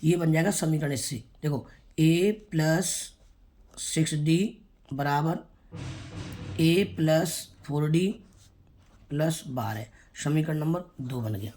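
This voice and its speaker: A man teaching a school math lesson, with a sore throat he keeps clearing. He speaks straight to the children and repeats each step. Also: on the high side, slow pace, pauses often, darkish tone. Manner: repetitive, explains step by step, addresses the children directly. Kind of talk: classroom math lesson for students.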